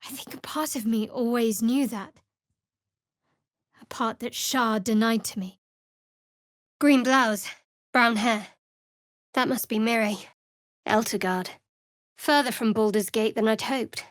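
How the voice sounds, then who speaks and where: An actress playing a guarded, devout young woman cleric in a video game, with a wry, measured delivery. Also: fairly high pitch, no echo, studio quality, lightly acted. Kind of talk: video game character voice acting.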